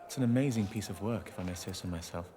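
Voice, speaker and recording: An actor playing a pompous, decadent young Roman prince in a video game, affected, languid and very pleased with himself. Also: male voice, low-pitched, fast talker, warm tone, lightly acted. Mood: smug, preening. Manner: affected, languid, self-satisfied. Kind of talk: theatrical video game acting. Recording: reverberant hall, faint background noise.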